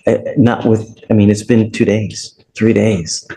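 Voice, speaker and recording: An American man speaking as a judge in a courtroom discussion, plain-spoken and firm, often halting and repeating himself. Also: lowish voice, steady tone, creaky, mellow.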